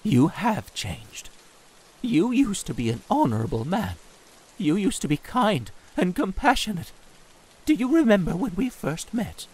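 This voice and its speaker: Man acting a high-voiced, heartbroken snake demon. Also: big pitch swings, measured pace, a little mellow, husky, full character voice, poor audio. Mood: hurt, earnest. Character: earnest, heartbroken male snake demon, pleading lover. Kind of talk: audio comic dub acting. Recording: background music. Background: music.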